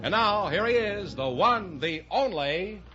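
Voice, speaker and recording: Male 1950s-style announcer, American accent, lively and showy. Dull vintage broadcast sound. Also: high-pitched, measured pace. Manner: showy, projected announcer delivery. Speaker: radio announcer. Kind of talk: 1950s radio announcing and sponsor reads. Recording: muffled old radio recording with music.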